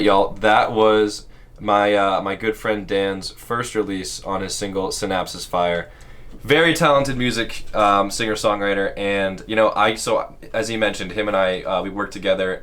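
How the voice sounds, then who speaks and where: A man hosting a casual radio show and chatting with a guest in a relaxed, friendly way, with plenty of fillers. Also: fairly low pitch, moderate pace. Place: radio studio.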